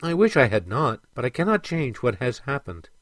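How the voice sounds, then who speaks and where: A man voicing a gentle, earnest female mermaid in a storybook, with soft, stately phrasing. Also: medium pitch, sing-song, moderate pace, no echo, clean studio sound. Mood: regretful, explaining.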